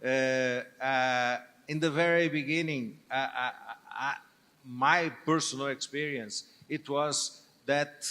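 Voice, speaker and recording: Brief: older male panel guest, Portuguese accent, slow and hesitant, stumbles and restarts, mid-pitched voice. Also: fairly even intonation, bright, forceful tone. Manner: halting, hesitant, frequent restarts. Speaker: business leader guest. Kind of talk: panel discussion on stage.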